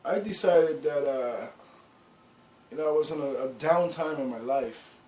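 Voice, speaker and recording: Middle-aged man, mid pitch, rambling casual storyteller, echoey room. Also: a little slow, darkish tone. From Guyana. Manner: rambling, lots of "you know" and restarts. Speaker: clothing brand founder. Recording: echoey room with faint background noise.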